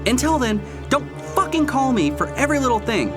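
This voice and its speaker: A man's voice: very high voice, sing-song, fairly quick. Guy acting as cocky ambitious prosecutor in an audio drama, voice moves up and down a lot, music behind. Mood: irritated, defiant. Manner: confiding in narration, sharp and sarcastic in argument. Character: ambitious male prosecutor, self-assured, combative, smitten. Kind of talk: audio drama narration and dialogue.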